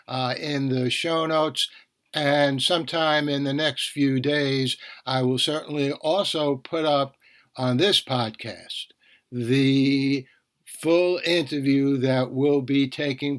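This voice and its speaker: A man's voice: medium pitch, fairly bright tone. A man hosting a podcast, talking conversationally with rambling asides and self-corrections. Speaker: podcast host.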